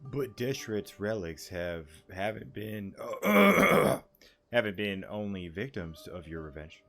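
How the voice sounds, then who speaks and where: A man's voice: lowish voice, very animated, slow pace, fairly bright tone. A man giving casual reaction commentary over a game, in an informal, slangy way. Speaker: gaming YouTuber. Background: game music.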